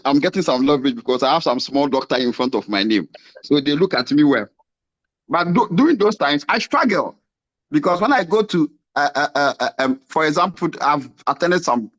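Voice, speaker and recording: Man from Ghana, passionate, rhetorical, slightly high voice, muffled call audio. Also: fairly bright tone. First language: a Ghanaian language. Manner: passionate, rhetorical questions, rising emphasis. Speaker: academic and novelist asking a question. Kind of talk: impassioned question at a panel.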